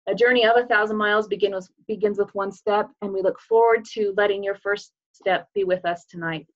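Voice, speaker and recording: Upbeat woman pitching on a video call. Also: fairly even intonation, fairly quick, dull audio. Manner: earnest and upbeat, with casual fillers. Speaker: business presenter. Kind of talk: recruiting sales presentation.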